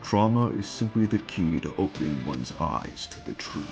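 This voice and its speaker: A man voicing a ruthless, arrogant conqueror villain in an amateur dramatic reading, gravelly and full of grandiose threats. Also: deep voice, lively intonation, normal speed. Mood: taunting. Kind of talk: amateur dramatic reading of comic fan fiction. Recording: music under the voice.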